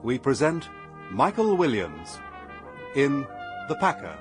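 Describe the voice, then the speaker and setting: A man's voice: mid-range voice, huge pitch swings, takes it slowly. A man giving a scripted introduction to a radio drama as its announcer. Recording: brass music under the voice. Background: brass music.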